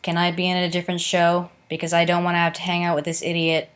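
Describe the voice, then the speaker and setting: A woman voicing a bored teenage cartoon girl with no emotion, in a flat deadpan monotone. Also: on the low side, quick pace, clean tone, voice pushed down, close to an everyday voice. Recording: echoey room.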